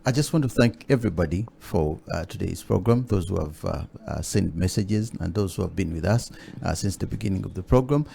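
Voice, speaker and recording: A man hosting a studio interview, talking haltingly with many fillers and restarts. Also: low-pitched, expressive, gravelly, mellow. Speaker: talk show host. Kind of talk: hesitant studio interview chat.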